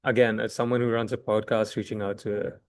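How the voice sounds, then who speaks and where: A man's voice: fairly low pitch, little pitch movement, fairly quick. A man hosting a casual video call, reading out cold emails and chiming in with short agreements and fillers. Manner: brief agreeing replies, filler-heavy. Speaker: host.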